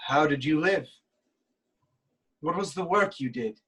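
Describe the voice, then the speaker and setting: A woman playing a proud, stubborn king of ancient Thebes, declaiming deliberately in a theatrical reading. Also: sits low, fairly bright tone, breathy edge, exaggerated voice. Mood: questioning. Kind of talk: theatrical staged reading of Greek tragedy.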